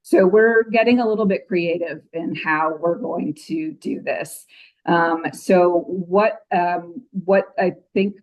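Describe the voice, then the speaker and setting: A woman's voice: fairly low pitch, takes it slowly, highs cut off. A woman on a commission, her voice a little scratchy, giving a grant and project update with many ums at a public meeting. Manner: frequent ums, apologetic. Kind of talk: meeting report.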